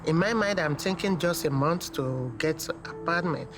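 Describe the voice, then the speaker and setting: A woman's voice: sits low, moderate pace, noisy background. A middle-aged woman with a Nigerian accent telling her personal story in an interview. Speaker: interviewee, mother of an athlete.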